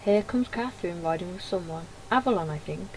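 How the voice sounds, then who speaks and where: A woman with a slightly low voice reads story dialogue from a game aloud and drops into casual commentary. The home recording has clear background noise. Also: fairly quick, rough recording. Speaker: gaming video creator.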